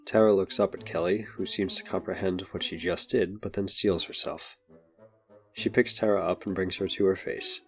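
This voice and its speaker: A man's voice: deep voice, fairly even intonation, normal speed, fairly bright tone, faint music behind. A man narrating a scripted superhero audio drama. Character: narrator of a superhero adventure story.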